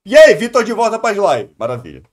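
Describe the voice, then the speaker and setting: A young man with a Brazilian Portuguese accent and a slightly high voice chats as a live streamer. He comments casually, wandering off on tangents and repeating words in a playful way. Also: wildly swooping pitch, brisk. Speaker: streamer reacting to a video.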